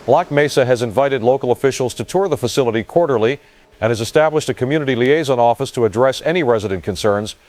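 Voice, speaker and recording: Male field correspondent, played by an actor: matter-of-fact live report, slight hesitations, average pitch. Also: fast, a little mellow, no room sound, close to an everyday voice. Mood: calm, matter-of-fact.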